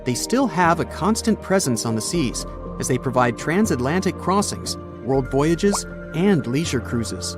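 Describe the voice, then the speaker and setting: A man's voice: on the high side, very animated, measured pace. A man reading scripted documentary narration as the narrator. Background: background music.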